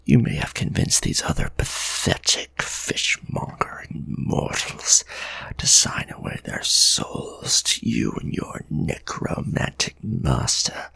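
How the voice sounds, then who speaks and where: Vampire lord: man doing a protective aristocratic vampire, low, gravelly, slow, formal ASMR whisper. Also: fairly bright tone, no room sound, exaggerated voice. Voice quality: gravelly, breathy. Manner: formal archaic speech, intense close whisper. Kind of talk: ASMR audio roleplay. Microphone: close ASMR microphone.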